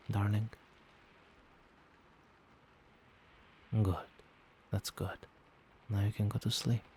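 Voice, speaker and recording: A man as a low, soft-spoken doting vampire boyfriend. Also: a little hiss or hum, close to an everyday voice. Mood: soothing, hypnotic. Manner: tender, reassuring, coaxing. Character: doting, gentle male vampire boyfriend soothing partner to sleep. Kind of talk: soft-spoken ASMR roleplay.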